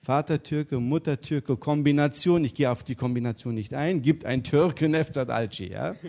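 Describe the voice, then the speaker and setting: Middle-aged preacher guy from turkey, jokey storyteller, lively. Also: mid-range voice, normal speed, vocal fry. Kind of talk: interview and sermon.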